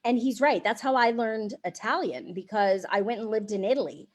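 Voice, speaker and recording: A woman in her forties hosting a live stream interview over a video call, chatty and animated, laughing often. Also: fairly high pitch, moderate pace. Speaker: host. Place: home room.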